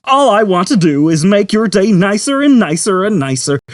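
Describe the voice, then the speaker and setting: Ship's computer, played by a male narrator: raised pitch, bouncy, brash, relentlessly upbeat sales patter for a sci-fi audiobook. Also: sing-song, normal speed, no echo, studio quality. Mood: bright, chattering. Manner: salesman-like, chattering, over-eager. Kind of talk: audiobook character voice.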